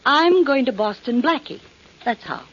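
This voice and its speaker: An actress playing an insistent young heiress in a 1940s radio drama, with stubborn, emphatic delivery. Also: female voice, high-pitched, sing-song, moderate pace. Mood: determined. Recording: dull, noisy 1940s radio recording.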